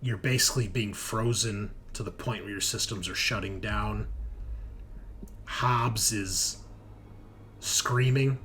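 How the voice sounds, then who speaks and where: A man narrating as a game master in deliberate, suspenseful short phrases, moving between dramatic storytelling and table talk. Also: on the low side, fairly bright tone, a little hiss or hum. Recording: music behind the voice. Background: background music.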